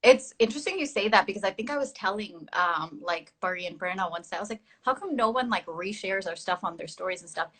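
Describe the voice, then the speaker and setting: A woman's voice: mid-range voice, expressive, quick pace, hardly any pauses, a bit bright. Young woman dance teacher hosting a live chat, chatty, lots of um and like. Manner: chatty, many fillers, laughs at herself. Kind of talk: casual chat on an online live stream.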